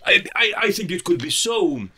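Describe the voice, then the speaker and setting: Animated man telling stories with lots of swearing. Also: high voice, normal speed, a little hiss or hum. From Malta. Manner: animated, swearing storyteller with frequent restarts. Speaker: podcast guest. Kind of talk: casual podcast chat.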